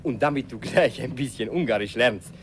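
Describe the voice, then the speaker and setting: A man's voice: mid-range voice, normal speed, slight background noise, natural voice. An actor playing a jovial, fatherly host who laughs often in a radio play. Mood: hearty. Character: jovial older Hungarian host, warm uncle figure. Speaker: host uncle. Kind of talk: 1950s radio play acting.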